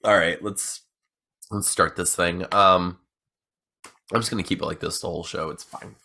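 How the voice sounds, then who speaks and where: A man's voice: low-pitched, fairly even intonation, normal speed, creaky, bright, forceful tone. A man in his thirties co-hosting a podcast, talking casually with lots of restarts and laughs while he gets over an illness. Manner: rambling, laughing, restarts often. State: recovering from illness. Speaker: podcast co-host. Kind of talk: casual chat.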